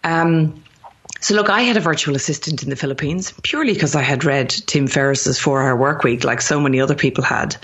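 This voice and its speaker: A woman's voice: sits low, a little fast, highs cut off. An Irish woman in her thirties, a company founder, talking as a guest in a chatty interview and often restarting her sentences. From Ireland. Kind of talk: podcast interview.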